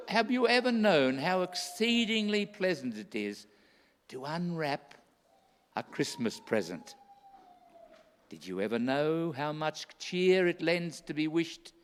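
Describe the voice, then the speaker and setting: A kindly, earnest old-time Christmas gift-giver , played by a man declaims radio play verse with emphasis. The delivery is slow and swings widely in pitch. It resounds in an echoey hall. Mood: earnest, coaxing. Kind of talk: theatrical rhymed radio play oratory. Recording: echoey hall with long reverb.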